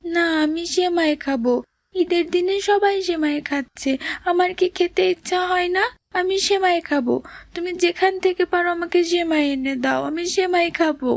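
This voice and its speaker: A woman's voice: very high voice, moderate pace, dry recording. A woman voicing a hungry little boy in a cartoon drama, childlike, insistent and whiny. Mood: whiny, demanding. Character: hungry little brother, whiny, stubborn, tender-hearted.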